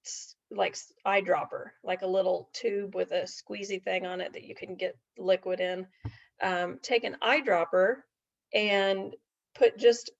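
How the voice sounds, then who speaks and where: A woman's voice: mid-range voice, steady tone, a little slow, creaky. A middle-aged woman telling a casual story in a folksy, chatty way, full of asides and check-in questions. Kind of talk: casual anecdotal storytelling to an audience. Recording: dull lo-fi recording.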